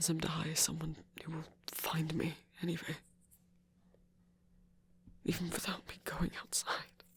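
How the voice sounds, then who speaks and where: A man performs a flirty yet wistful vampire for an ASMR audio story. The voice is very husky and breathy, often sinking to a whisper. He speaks slowly and hesitantly, with creaky fry at the edges and a teasing softness. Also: on the high side, completely flat delivery, full character voice. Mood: wistful, anxious. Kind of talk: intimate ASMR audio roleplay.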